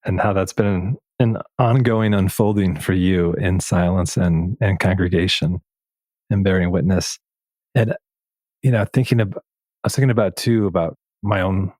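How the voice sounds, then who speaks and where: A man hosting a reflective, conversational interview, asking questions in a gentle, tentative way. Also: sits low, normal speed, no echo. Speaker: podcast interviewer.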